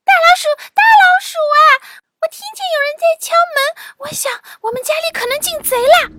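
A woman voicing a small, anxious young mouse in a children's story, whining and pleading excitably. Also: very high voice, moderate pace, very noisy, pitched above the performer's own voice. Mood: alarmed.

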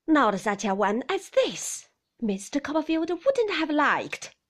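A woman's voice: sits high, sing-song, slow, heavily acted. Woman doing a blunt, stubborn old servant. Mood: emphatic. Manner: stiff, blunt and emphatic. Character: stubborn loyal middle-aged female servant.

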